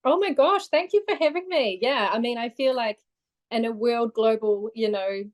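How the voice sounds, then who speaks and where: Friendly New Zealand woman, slightly high. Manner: friendly, animated, quick to laugh. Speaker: guest. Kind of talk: casual chat interview.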